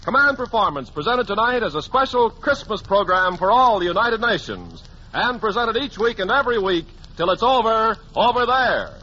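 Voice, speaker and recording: A man's voice: high voice, big pitch swings, normal speed. An American man making scripted announcements in the style of 1940s wartime radio. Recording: dull, faintly noisy 1940s radio recording.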